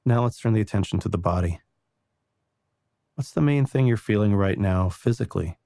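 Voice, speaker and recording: A man guiding a meditation in a calm, gentle and unhurried way, reassuring the listener with soft spoken instructions. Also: fairly low pitch, creaky, dry recording. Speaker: meditation guide.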